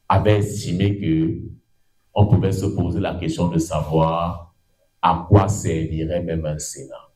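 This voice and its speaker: Casting: middle-aged Cameroonian lecturer, low voice, jumps high for emphasis, wandering asides. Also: male voice, rough recording. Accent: Cameroonian French. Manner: lively and digressive, pitch leaping up for emphasis, stretching words. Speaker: law lecturer. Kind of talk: university lecture. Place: lecture room.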